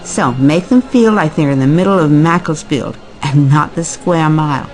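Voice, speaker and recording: A woman playing a brisk, pushy and cynical event boss in an audio drama, breezy and commanding. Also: low-pitched, darkish tone, soft background music, natural voice, poor audio.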